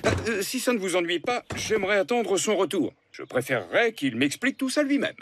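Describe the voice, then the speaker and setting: An actor voicing a formal, puzzled middle-aged lawyer in a cartoon. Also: male voice, high voice, big pitch swings, a little fast, background noise. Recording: music under dialogue.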